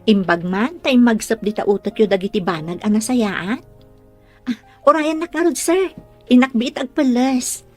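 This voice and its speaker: A woman's voice: on the high side, moderate pace, a little mellow, faint music behind, natural voice. An actress with a northern Philippine accent playing a cheerful, caring young female caregiver who teases and encourages in a radio drama. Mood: teasing, surprised. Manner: teasing and encouraging.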